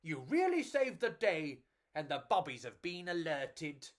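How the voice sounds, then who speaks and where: Lady doing beefeater guard man for kids story, blustery, hearty, blurts it out, very animated. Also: low voice, a little slow. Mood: grateful.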